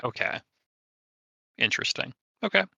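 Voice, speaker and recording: A man's voice: mid-range voice, very animated, moderate pace, gravelly, pushed and bright, slightly rough, no room sound. A man casually discussing a tabletop roleplaying session as one of the players.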